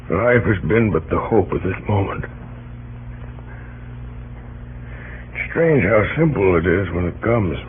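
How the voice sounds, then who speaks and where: An actor playing a grave, humane, weary middle-aged president in an old-time radio drama, speaking in a measured, solemn, plain way with a husky voice. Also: male voice, fairly low pitch. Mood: solemn relief. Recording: muffled, tinny old radio recording.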